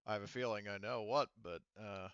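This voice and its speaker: Low-voiced man running a tabletop game. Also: steady tone, brisk, a bit bright, clean tone, dry recording, highs cut off. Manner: hesitant, thinking aloud as he works out rules. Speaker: game master.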